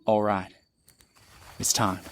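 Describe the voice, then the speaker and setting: A man's voice: on the low side, little pitch movement, slow, noisy background. A man playing a greedy, domineering bandit with a drawl in an audio drama. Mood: cold, determined. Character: greedy, ruthless, domineering male bandit, older brother type. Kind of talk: audio drama acting, folksy Old West dialect.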